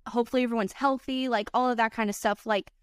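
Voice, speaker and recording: A woman's voice: on the high side, steady tone, fast, clean tone, dry recording, studio quality. A woman wedding filmmaker, a podcast guest, talking in an earnest, chatty way full of "like" and "you know". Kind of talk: casual podcast chat.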